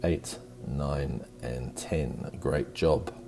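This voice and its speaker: Low, gravelly male meditation guide. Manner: gentle, encouraging, unhurried. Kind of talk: guided meditation, slow soothing instruction. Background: music.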